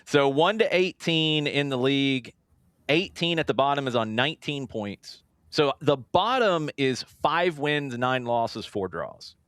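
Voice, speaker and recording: A man hosts a casual soccer talk show with an animated, swinging pitch. His middle-register voice is recorded dry and close, and he leads the conversation through match previews. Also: a little slow, clear, resonant tone, dry recording.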